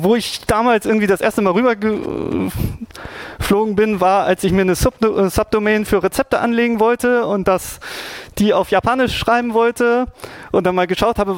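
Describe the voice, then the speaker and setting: A man's voice: high-pitched, no echo. A young man casually explaining Japanese writing, rambling with lots of fillers and restarts. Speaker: presenter explaining Japanese scripts.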